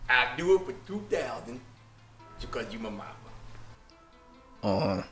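A man's voice: medium pitch, sing-song, normal speed, fairly bright tone, soft background music. A man with a Memphis Southern accent playing a sleazy, flirtatious backyard mechanic in an improvised outdoor comedy skit, talking in a slick, rambling drawl. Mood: smooth-talking. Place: outdoors in a suburban driveway.

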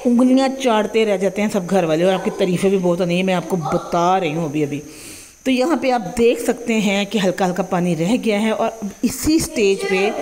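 A woman's voice: medium pitch, a little mellow, a little hiss or hum. A young woman with a Punjabi accent, hosting a home cooking tutorial in a chatty manner and often checking in with "theek hai ji". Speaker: cooking channel host. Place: home kitchen.

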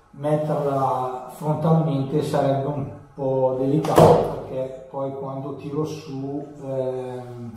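A man in his thirties giving a casual, unscripted tutorial in a halting, hesitant way. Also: a little high, warm tone, slight background noise. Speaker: motovlogger presenting a tutorial. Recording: echoey room, distant camera mic.

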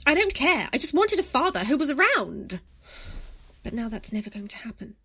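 Actress as young daughter, resentful, defensive, raised pitch, audio drama. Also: female voice, sing-song, normal speed, sharp tone, husky, full character voice. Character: defensive, resentful nineteen-year-old daughter.

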